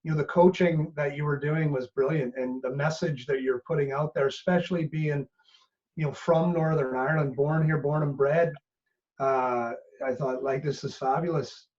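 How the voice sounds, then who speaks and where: A man's voice: a little high, normal speed. A middle-aged man with a Canadian accent, a business coach telling stories in a casual podcast chat and acting out what people said. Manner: animated storyteller, acts out quotes. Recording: muffled lo-fi audio.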